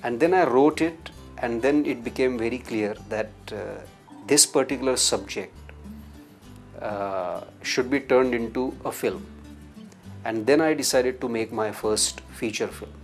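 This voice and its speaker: A man, a filmmaker, slowly and thoughtfully recalling how he came to make his first feature film. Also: mid-range voice, faint music behind. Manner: slow, reflective. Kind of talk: interview testimony.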